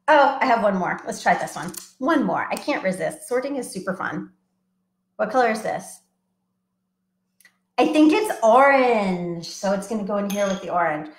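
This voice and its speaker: A middle-aged woman, a children's librarian, talking to small children in a bright, sing-song way from her home. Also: mid-range voice. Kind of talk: children's storytime livestream. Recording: echoey room.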